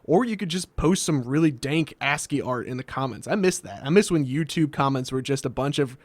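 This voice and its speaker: A man's voice: mid-range voice, fairly quick, dry recording. A man hosting a scripted comedic explainer with a wry, sardonic delivery, recorded as a close voice-over. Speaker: host of a card game video series.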